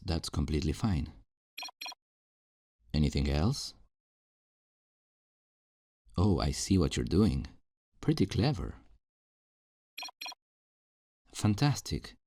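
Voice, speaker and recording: A man playing a calm, kindly afterlife assistant in an ASMR roleplay, patiently explaining things in a low, gravelly voice. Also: no room sound, studio quality. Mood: helpful, approving. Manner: patient, reassuring, explanatory customer-service talk. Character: calm, kindly male afterlife assistant selling souls new lives. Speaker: voice actor in audio roleplay. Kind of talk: ASMR roleplay, soft-paced scripted one-sided conversation.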